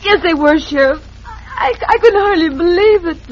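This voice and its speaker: A woman's voice: extremely high pitch, lively intonation, normal speed. A woman playing a terrified young frontier damsel, faltering and melodramatic, in an old radio western. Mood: shaken. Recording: noisy, muffled vintage radio recording.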